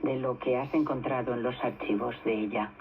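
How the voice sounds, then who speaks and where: A man's voice: medium pitch, fairly even intonation, fairly quick. A man interviewing an author on the radio, prompting her with short interjections and questions. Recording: shortwave radio broadcast, narrow and hissy.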